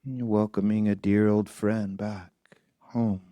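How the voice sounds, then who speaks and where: A man with a low, mellow voice guides a meditation in a soft, gentle manner. He speaks very slowly and keeps his pitch very even, leaving long pauses between short phrases. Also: no echo. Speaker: meditation teacher.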